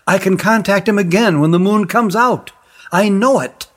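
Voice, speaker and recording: Man putting on a young storybook heroine, high-pitched, excited, breathless exclamations. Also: normal speed. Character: eager young heroine with a magic ring.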